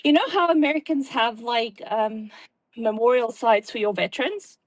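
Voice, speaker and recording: A woman's voice: fairly high pitch, moderate pace, no room sound, poor audio. A woman with a South African accent in casual chat, earnestly explaining things at length. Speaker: guest.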